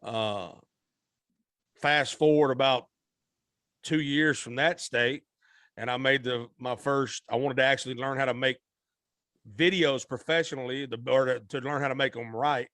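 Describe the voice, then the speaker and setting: A man from Arkansas in his thirties, talking as a podcast guest in a loose, folksy, rambling manner. His pitch sits in an ordinary middle range. The sound is dry and close, with no room around it. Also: fairly even intonation, normal speed, pauses often, a bit bright, no room sound. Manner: rambling, self-correcting, folksy. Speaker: guest singer. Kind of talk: relaxed conversational storytelling.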